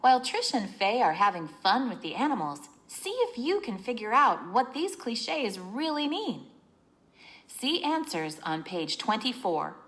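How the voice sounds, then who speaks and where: A woman reading a children's book aloud in an expressive, teacherly way, explaining as she narrates. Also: fairly high pitch, unhurried.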